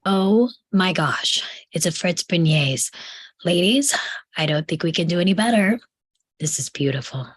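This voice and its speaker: Low, husky, condescending bridesmaid Helen, played by a woman. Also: lively intonation, unhurried. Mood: breezy, pleased. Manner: polite, condescending. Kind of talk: screenplay table read.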